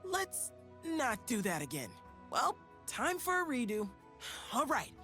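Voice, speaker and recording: Game hero: street-smart teen boy inventor. High, lively, cocky and playful. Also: slow, soft background music, heavily acted. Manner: playful, breezy slang. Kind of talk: video game voice acting.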